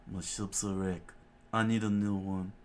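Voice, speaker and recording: A man's voice: deep voice, level tone, a little slow, darkish tone, slight background noise. A man reading as a menacing pirate captain.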